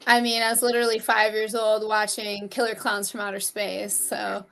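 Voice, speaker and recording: A woman's voice: medium pitch, level tone, moderate pace, vocal fry, fairly bright tone, a little hiss or hum. A woman in her thirties, a guest in a casual interview, chatty, laughing often and swearing freely. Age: 30s. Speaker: guest, horror actress and model.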